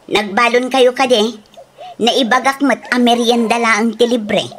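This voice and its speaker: An actress plays a tight-fisted woman who runs a farm in a radio comedy drama. Her voice sits very high and she is curt and dismissive. A faint layer of noise sits behind her. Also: steady tone, a little slow, natural voice. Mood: bossy. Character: brisk, tight-fisted woman farm boss, the old man's daughter.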